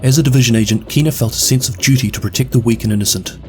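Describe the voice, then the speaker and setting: Fast-talking New Zealand man narrating. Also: medium pitch. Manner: brisk, steady recap delivery. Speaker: video game lore narrator. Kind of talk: scripted narration.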